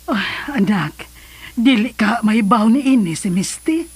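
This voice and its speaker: An actress with a Cebuano Filipino accent playing a gentle, concerned mother of a grown son in a radio drama. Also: fairly high pitch, expressive, unhurried, husky, dry recording, full character voice. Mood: tender concern.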